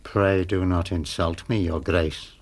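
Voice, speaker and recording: A man playing an oily, menacing English gentleman blackmailer in a radio drama, falsely polite and theatrical. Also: low voice, steady tone, slow pace. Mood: silky menace. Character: silky, menacing older gentleman blackmailer, mock-courteous.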